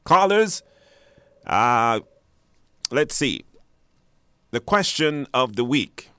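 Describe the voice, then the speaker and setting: A man's voice: medium pitch, big pitch swings, drawn-out words, pushed and bright, clear, resonant tone, no echo. A man hosting a live radio show in a conversational way, repeating phrases for emphasis.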